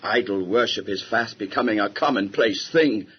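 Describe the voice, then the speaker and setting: A man's voice: fairly low pitch, normal speed, breathy edge. An actor playing an earnest, devout biblical man in an audio drama, speaking formally and with feeling. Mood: grave, devout. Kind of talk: audio Bible drama acting. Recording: dull lo-fi recording.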